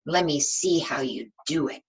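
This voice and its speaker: A woman's voice: sits low, a little slow, husky, heavily acted. A woman reading a storybook and voicing an eager, imaginative young boy who calls out to farm animals in a singsong way. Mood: eager, calling out. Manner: calling out brightly, singsong address.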